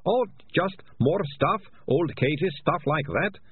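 High, whining, panicky coward. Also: male voice, big pitch swings, a little slow, narrow, tinny audio, slight background noise. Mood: evasive, nervous. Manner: stammering, whining, moaning. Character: panicky, cowardly, whining man, a rat catcher.

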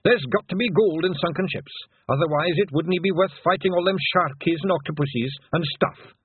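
A man's voice: fairly high pitch, moderate pace, slightly rough, no room sound, phone-quality sound, voice pushed up. A man voicing small, greedy, cheerfully thieving pixie men with a Scottish accent in an audiobook. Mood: insistent, greedy. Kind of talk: audiobook character acting.

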